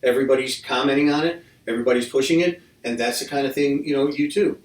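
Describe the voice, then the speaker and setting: A man's voice: medium pitch, steady tone, quick pace. A man hosting a podcast, chatting casually with his guests, often restarting his sentences and joking as he goes. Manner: rambling, restarts sentences, jokey. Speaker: podcast host. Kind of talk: casual interview chat. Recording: roomy mic from a distance.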